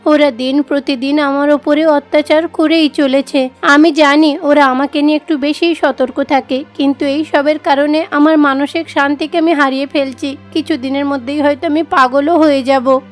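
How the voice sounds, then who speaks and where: A woman voicing a meek, devout young village daughter in an animated moral story, earnest and plaintive. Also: high voice, moderate pace, hardly any pauses, soft background music, lightly acted. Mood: sorrowful, pleading.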